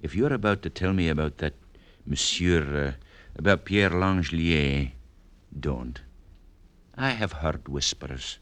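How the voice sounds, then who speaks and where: An actor playing a devoted, plodding gentleman suitor, halting and gently insistent, in an old-time radio drama. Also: very deep voice, no echo. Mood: earnest, firm.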